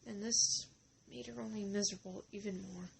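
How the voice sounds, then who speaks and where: A woman narrating a story informally, halting and deliberate, with dramatic pauses between short phrases. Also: mid-range voice, steady tone, vocal fry, slight background noise.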